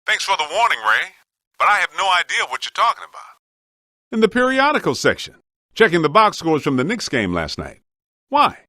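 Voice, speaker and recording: A man's voice: high-pitched, huge pitch swings, brisk, sharp tone, no echo, clean studio sound, heavily acted. A man playing a streetwise, wisecracking ghost hunter in a video game, speaking in a colloquial, wry, plain-spoken way. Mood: puzzled. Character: streetwise, wisecracking male ghost-hunting team member.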